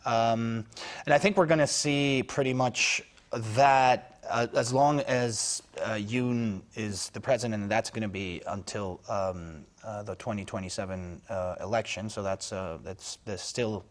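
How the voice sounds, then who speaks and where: A man speaking as a foreign news correspondent on a news panel, analytical and halting, with many fillers and restarts. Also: on the low side. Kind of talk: unscripted news panel discussion.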